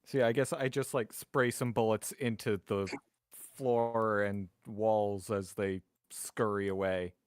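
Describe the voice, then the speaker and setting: A man's voice: fairly low pitch, fairly even intonation, takes it slowly, clean tone, no room sound, clean studio sound. Wry man playing a tabletop game. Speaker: player in a tabletop roleplaying game. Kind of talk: casual game chat.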